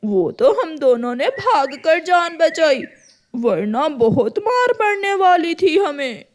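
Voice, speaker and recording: A woman's voice: high-pitched, sing-song, slow, exaggerated voice. A woman voicing a whiny little boy crow in a children's cartoon story, plaintive and childish. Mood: tattling.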